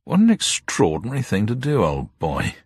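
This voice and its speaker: A man voicing a blustering upper-class gentleman in a detective story, hearty and rattled, full of old-boy indignation. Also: fairly low pitch, wildly swooping pitch, moderate pace, breathy edge, no echo, clean studio sound, pitched above the performer's own voice, heavily acted. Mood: awkward, amazed.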